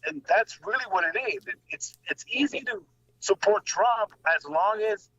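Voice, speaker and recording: A man giving casual political commentary as a guest, blunt and profane, halting and repeating phrases, over lo-fi call audio. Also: high voice, expressive, sharp tone, husky.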